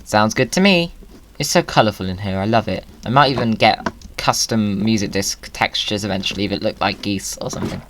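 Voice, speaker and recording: A man's voice: lowish voice, fairly quick, background noise. A man giving casual, playful gaming commentary with whimsical wording. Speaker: video creator.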